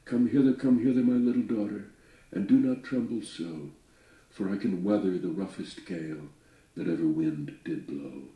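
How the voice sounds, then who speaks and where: A man's voice: low voice, moderate pace, dark tone, echoey room, recorded from a distance, natural voice, poor audio. A man reading the part of a proud sea skipper and protective father in a poem, declaiming and reassuring. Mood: confident, reassuring.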